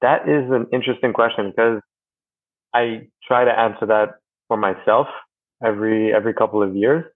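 A young man with a low, even voice talks through his background in a relaxed, thoughtful way, pausing often with fillers. He is from Rwanda and Canada. The sound is dry and thin, like a phone line. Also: no echo. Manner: thoughtful, many "um" pauses. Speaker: guest, energy company manager. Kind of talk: casual interview talk.

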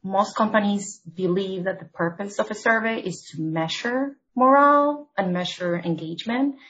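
A woman from Argentina, a consultant guest on a podcast, explaining her points in a measured, structured way. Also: mid-range voice, fairly bright tone, dull audio. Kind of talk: podcast interview.

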